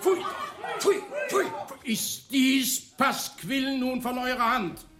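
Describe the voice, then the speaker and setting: An actor playing a pompous, outraged and mocking judge in a declamatory radio play style. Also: male voice, extremely high pitch, lively intonation, slow pace, a crowd in the background, heavily acted. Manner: declamatory, mocking, with scornful laughs and outbursts. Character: pompous, gloating, outraged male judge of a small-town court. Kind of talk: theatrical German radio play acting.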